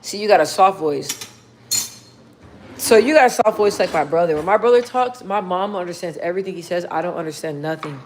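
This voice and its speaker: A woman with a deep, bassy voice, casually chatting with viewers on a livestream. She rambles, reads comments aloud and swears freely. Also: fairly quick, a little mellow, slight background noise. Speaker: livestream host.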